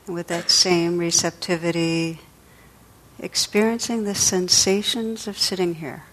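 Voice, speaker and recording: A woman leading a guided meditation as a teacher, speaking in a gentle, soothing, unhurried way with pauses. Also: fairly low pitch, fairly even intonation, rough recording.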